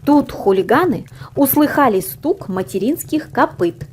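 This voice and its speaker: A woman narrates a children's fairy tale in a high, very melodic voice. She takes her time and leans theatrically on her words. Some noise sits behind the recording. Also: animated, a little mellow. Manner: theatrical, drawn-out emphasis. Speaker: storyteller reading an audio fairy tale. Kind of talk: expressive scripted children's story narration.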